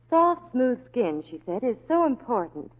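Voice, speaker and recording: Lively woman radio reporter, vintage sound. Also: on the high side, unhurried. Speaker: Hollywood reporter on a radio show. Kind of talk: scripted 1940s radio promotional chat. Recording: dull vintage radio recording.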